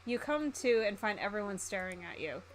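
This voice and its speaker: A woman streamer chatting casually and reading game text aloud, hesitant and thinking out loud. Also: medium pitch, expressive, moderate pace, over music. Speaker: streamer playing a visual novel for viewers.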